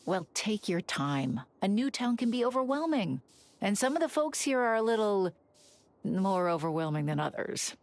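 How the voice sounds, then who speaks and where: A welcoming young shopkeeper in a video game. She is sociable and reassuring, with a very varied pitch over faint background noise. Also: very animated, moderate pace. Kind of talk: video game character dialogue.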